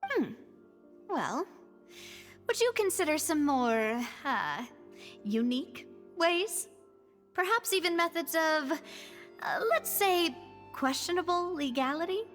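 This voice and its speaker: A woman playing a young female gang boss in a game, teasing and sly, pausing before odd suggestions. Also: very high voice, big pitch swings, fairly bright tone, soft background music, exaggerated voice.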